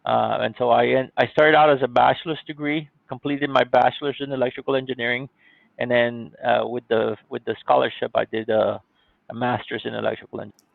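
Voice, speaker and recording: A man's voice: mid-range voice, level tone, fairly quick, gravelly, no echo. A man, politely explaining his own story as a podcast interview guest. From born in Cuba, grew up in Miami, United States. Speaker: podcast guest, IT company CEO. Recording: thin, phone-like call audio.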